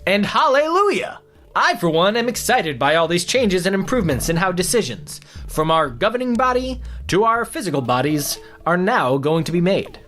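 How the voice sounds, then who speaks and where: An actor playing an earnest, gullible co-anchor reads a comedy newscast and mock ads over music. The mid-pitched voice swings widely with salesy announcer polish and relentless cheer. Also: big pitch swings, moderate pace, a bit bright. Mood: elated.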